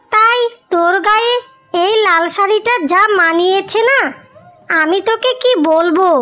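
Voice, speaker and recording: A woman's voice: extremely high pitch, a bit bright. A woman voicing a doting, protective young elder sister in a cartoon fairy tale, bossy in a fussing way.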